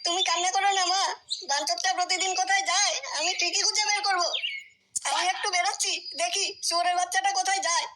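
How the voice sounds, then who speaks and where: Woman voicing a very high-pitched brash cartoon lad. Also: steady tone, fast talker, sharp tone. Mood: angry. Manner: crude, boastful, slangy. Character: brash foul-mouthed young man, hot-tempered cartoon son. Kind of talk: adult comedy cartoon dialogue. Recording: noisy track with chirping birds.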